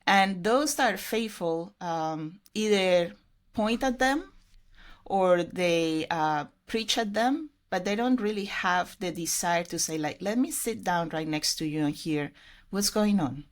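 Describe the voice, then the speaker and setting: Woman, middle-aged, English speaker, slightly low voice, earnest, reflective, conversational. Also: lively intonation, measured pace. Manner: earnest, reflective storytelling with fillers. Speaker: podcast guest, ministry leader. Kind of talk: conversational interview.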